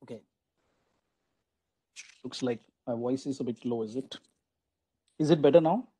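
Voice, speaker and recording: A man's voice: medium pitch, a little slow, mellow, slightly rough. A man giving a conversational online lecture to teachers, with many restarts and "you know" asides, over a video call. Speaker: guest lecturer at a faculty development program.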